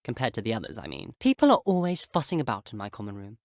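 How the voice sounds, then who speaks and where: A man's voice: lowish voice, huge pitch swings, fast, gravelly, no echo, studio quality, full character voice. An actor with an English accent playing a well-mannered, slightly haughty teenage male wizard student in a video game, polite and wry in manner. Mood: conversational, wry. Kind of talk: video game character voice clips.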